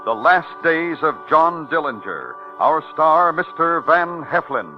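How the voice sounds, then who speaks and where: A man's voice: a little high, very animated, takes it slowly. A man introducing a 1950s radio thriller as its announcer, with grave, theatrical phrasing. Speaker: show announcer. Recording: dull old radio recording. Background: dramatic music.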